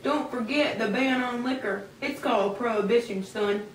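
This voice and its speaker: Woman as earnest male reformer, amateur puppet show, fairly high voice, noisy distant recording. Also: moderate pace, close to an everyday voice. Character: earnest 19th-century American man, farmer or reformer. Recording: echoey room, distant mic, clear noise.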